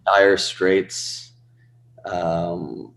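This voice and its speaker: A man in his thirties with a very low voice talks loosely, drifting through fillers and restarts. The sound is roomy and echoey, with the space audible around him. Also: little pitch movement, drawn-out words, fairly bright tone. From born in Pakistan, raised in Rhode Island. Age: 30s. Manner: rambling, many fillers and restarts. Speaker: musician guest. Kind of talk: casual interview chat.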